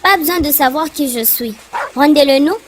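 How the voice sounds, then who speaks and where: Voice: high voice, moderate pace, slight background noise, lightly acted. A performer voicing a bold, bossy child in a cartoon. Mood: threatening, firm. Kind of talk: French-language cartoon dialogue. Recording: loud music behind the voice. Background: music.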